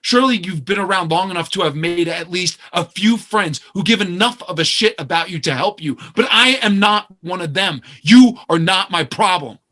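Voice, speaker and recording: Bright, high-voiced, uneasy man, acted by a man. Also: animated, full character voice. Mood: defensive, agitated. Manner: hesitant, halting, apologetic. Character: uneasy, guilt-ridden, better-off man, apologetic but defensive. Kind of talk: naturalistic stage play acting.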